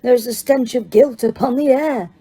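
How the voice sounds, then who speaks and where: A woman voicing a cold, slow, menacing dark lord. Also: a little high, husky, pitched above the performer's own voice.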